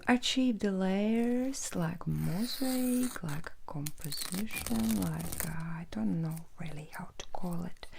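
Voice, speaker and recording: Slow, whispering ASMR woman. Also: mid-range voice, gravelly, slightly rough. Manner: whispered, hushed, halting delivery. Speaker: ASMR host and painter. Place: indoor room at home with brick wall.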